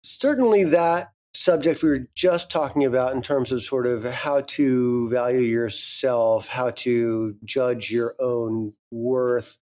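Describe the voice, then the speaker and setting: A man's voice: medium pitch, takes it slowly, few pauses, a little mellow. A man in his fifties, a guest speaking frankly and candidly in a casual interview, swearing now and then. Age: 50s. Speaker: guest, audio drama creator.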